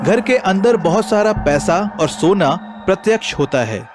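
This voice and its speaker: A man narrates a storybook tale in a high, animated voice. His pitch moves up and down freely, and music plays clearly behind him. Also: measured pace.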